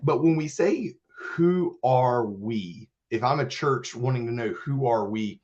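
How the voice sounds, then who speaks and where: A man with a hoarse voice, recovering from illness, earnestly presenting in a church webinar. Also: mid-range voice, animated, measured pace, darkish tone. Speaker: church outreach manager. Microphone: video call. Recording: dull lo-fi webinar audio.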